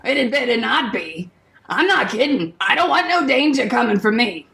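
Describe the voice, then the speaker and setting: An actress playing a wary, tough records insider in a radio play, with a blunt, folksy, wry manner. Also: female voice, on the high side, big pitch swings, moderate pace, creaky, fairly bright tone, full character voice. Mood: firm, wary.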